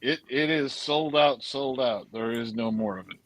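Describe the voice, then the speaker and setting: A retired man in his late fifties chatting easily on a whiskey livestream, telling stories in a dry, relaxed way. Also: medium pitch, normal speed, no room sound, poor audio. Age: 50s. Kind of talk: casual chat.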